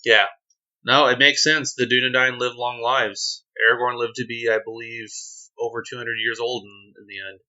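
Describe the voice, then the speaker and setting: A male podcast host with a bright, forward voice at a middling pitch. He chats casually and explains things in an encouraging, agreeable way. The recording is a little dull and lo-fi. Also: level tone, normal speed. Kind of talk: casual explanatory chat about a tabletop game.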